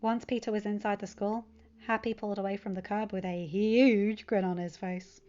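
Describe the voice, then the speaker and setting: A woman's voice: medium pitch, fairly even intonation, normal speed, a little mellow, dull audio. A woman reading scripted fan fiction narration as a storyteller.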